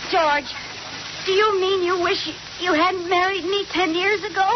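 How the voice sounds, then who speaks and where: An American actress playing a flustered, indignant wife in a theatrical, exasperated old radio comedy style. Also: female voice, very high-pitched, steady tone, a little slow. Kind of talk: 1940s radio comedy acting. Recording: muffled, noisy old radio recording.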